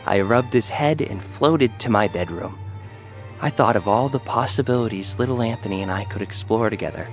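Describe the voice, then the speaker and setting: A man narrating a first-person science-fiction audio drama in a dry, deadpan manner. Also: fairly low pitch, moderate pace, faint music behind.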